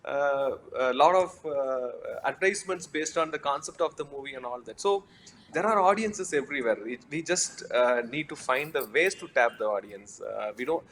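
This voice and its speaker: Halting man on a film panel. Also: mid-range voice, a bit bright, a little hiss or hum. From India. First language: Tamil. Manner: halting, many fillers and restarts. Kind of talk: panel discussion.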